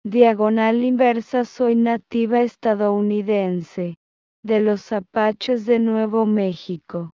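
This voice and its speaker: A woman reading a text aloud phrase by phrase, then repeating each phrase slowly and calling out the punctuation marks. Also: medium pitch, mellow, clean tone, no echo, highs cut off.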